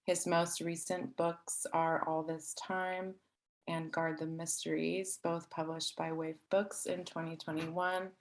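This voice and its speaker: A woman hosting an event, reading out a prepared, poetic introduction in a measured, deliberate and formal way. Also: low-pitched, level tone. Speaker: event host introducing a speaker.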